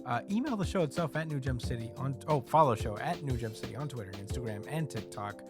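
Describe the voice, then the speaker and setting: Brief: male podcast co-host, loose, laughing, mid-pitched, music underneath. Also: expressive, quick pace. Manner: laughs easily, loose and chatty. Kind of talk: casual podcast chat.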